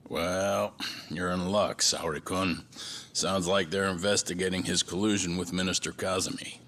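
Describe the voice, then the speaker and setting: An actor playing a gruff, wry elderly law office boss in a video game drama. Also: male voice, deep voice, fairly even intonation, normal speed, fairly bright tone. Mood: dry, knowing. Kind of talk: video game cutscene acting.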